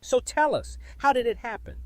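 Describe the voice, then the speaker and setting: A man playing a gentle, sincere friend in an audio drama, with a gravelly, husky voice. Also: extremely high pitch, big pitch swings, moderate pace, dry recording, heavily acted. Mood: curious. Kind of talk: fan audio drama acting.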